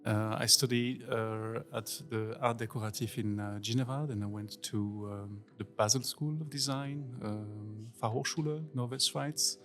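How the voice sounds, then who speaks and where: A Swiss man in his forties, a visiting design teacher, answers interview questions casually with many pauses and fillers. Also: fairly low pitch, fairly even intonation, a bit bright, soft background music. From Switzerland. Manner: hesitant, many fillers, non-native phrasing. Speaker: guest workshop teacher.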